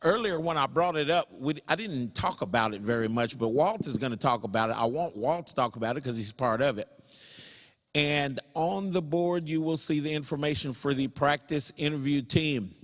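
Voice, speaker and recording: A man's voice: a little high, lively intonation, normal speed. Jokey rambling male emcee in a hall. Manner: jokey, rambling, self-deprecating asides. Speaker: networking group host. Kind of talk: live event emcee talk. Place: event hall. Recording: reverberant event hall.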